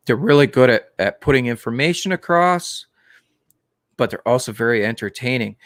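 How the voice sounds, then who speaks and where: A man hosting a live stream about the aquarium hobby, talking casually in a monotone, with many fillers and restarts. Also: medium pitch, dry recording, studio quality. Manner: plain, unhurried talk with many fillers and restarts.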